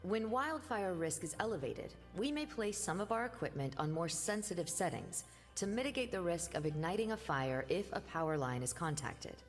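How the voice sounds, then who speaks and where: Low-voiced woman narrating a safety video. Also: moderate pace. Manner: calm, even, informative. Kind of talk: scripted corporate voice-over. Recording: played back in a reverberant hall with music.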